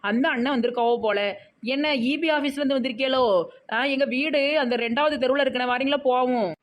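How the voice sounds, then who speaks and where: A woman's voice: sits high, fairly even intonation, fast, close to an everyday voice. A woman with a Tirunelveli Tamil accent voicing a boastful, dramatic young newly married village woman in a comedy cartoon. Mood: boastful, chatty. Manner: whiny, pleading, melodramatic.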